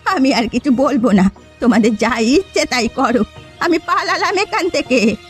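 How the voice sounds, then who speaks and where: A scheming old village woman from a cartoon, acted by a woman, with a high-pitched voice. She sounds aggrieved and spiteful, forever goading, over faint music. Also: lively intonation, normal speed. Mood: alarmed. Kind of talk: cartoon voice acting.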